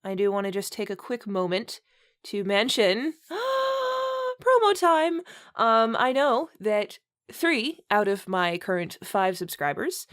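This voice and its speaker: Slow-paced giggly woman host reading aloud. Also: on the high side, very animated, fairly bright tone. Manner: giggly, breaks into laughter while talking. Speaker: host and reader. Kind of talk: comedic read-aloud of bad fiction with casual chat.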